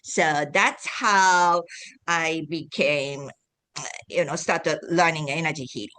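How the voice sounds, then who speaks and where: A woman from Japan speaking English with a Japanese accent, a guest telling her story in a halting way with many restarts. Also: low-pitched, fairly bright tone. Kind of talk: casual interview talk.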